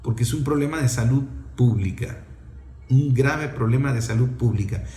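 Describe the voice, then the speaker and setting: A man's voice: medium pitch, moderate pace, dark tone, echoey room. A middle-aged man with a Venezuelan accent giving an impassioned opinion talk in an emphatic, scolding way, full of rhetorical questions.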